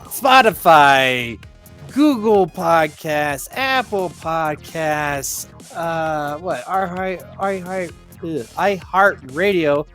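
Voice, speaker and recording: A man's voice: a little high, expressive, very slow, a bit bright, soft background music. A man co-hosting a casual movie podcast, talking in a hesitant, rambling way and stumbling over words. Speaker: podcast co-host.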